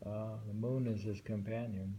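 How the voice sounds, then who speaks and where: A man reading short poems aloud twice each and musing over their meaning in a slow, thoughtful way. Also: lowish voice, flat delivery, dark tone, slight background noise. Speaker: poetry reader.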